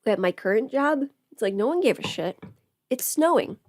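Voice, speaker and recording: A woman's voice: a little high, lively intonation, normal speed, darkish tone, no room sound. A woman livestreaming in casual, rambling chat as she does her makeup, informal and mildly sweary. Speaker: livestream host.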